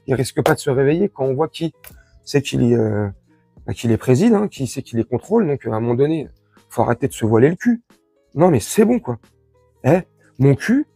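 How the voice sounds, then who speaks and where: A man from France with a husky voice, giving an informal, crude and sarcastic opinion rant to his followers as an online commentator. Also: mid-range voice, a little mellow, no echo. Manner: crude, slangy, sarcastic, indignant.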